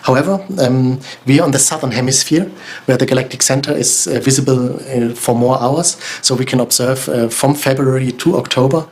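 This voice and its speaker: A man giving an interview explanation as a scientist, pausing hesitantly between short phrases. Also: mid-range voice, darkish tone. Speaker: astronomer, research team leader.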